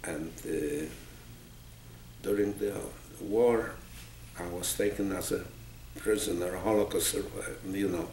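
Interviewee: man in his eighties, Polish accent, gravelly recollections, recorded in a roomy, noisy space. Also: low voice, fairly even intonation, a little slow, darkish tone. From Poland. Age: 80s. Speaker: interviewee, Holocaust survivor. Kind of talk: oral history recollection. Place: small office indoors, seated. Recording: roomy, noisy, distant microphone.

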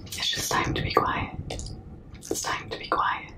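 Slow whispering young woman. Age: young adult. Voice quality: breathy unvoiced whisper. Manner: soothing, slow, repetitive shushing. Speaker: ASMR performer. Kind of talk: whispered ASMR comforting roleplay. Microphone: very close to the mouth.

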